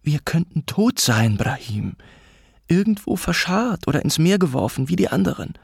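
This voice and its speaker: A man's voice: mid-range voice, expressive, normal speed, gravelly, a bit bright, husky, no room sound, studio quality, pitched above the performer's own voice, exaggerated voice. A man voicing an angry, hurt mother in an audiobook, hissing urgent accusations at her husband. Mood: reproachful. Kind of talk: audiobook character acting.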